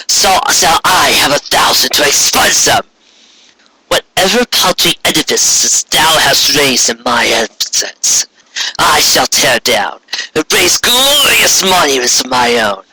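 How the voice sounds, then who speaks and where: A man's voice: on the high side, pushed and bright, pitched above the performer's own voice, exaggerated voice, low-quality recording. A man voicing a wrathful, imperious alicorn queen in a storybook reading, with grandiose archaic commands. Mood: vengeful.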